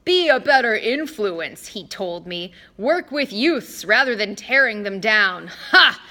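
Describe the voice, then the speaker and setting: Woman doing a petulant male god, whiny, pouting, theatrical, bright voice, loud and lively. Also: on the high side, slow, full character voice. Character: petulant, haughty male wine god, bored and menacing.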